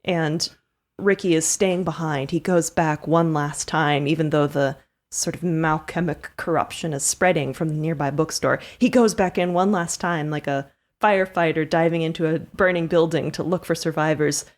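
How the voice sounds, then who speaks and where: A woman playing a tabletop game, improvising narration in a casual, animated storytelling manner. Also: sits low, moderate pace. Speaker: player and performer in a tabletop RPG.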